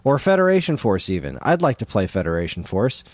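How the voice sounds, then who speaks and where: Low-voiced man chatting casually. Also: sing-song, brisk, dry recording. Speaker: podcast co-host. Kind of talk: casual chat about games.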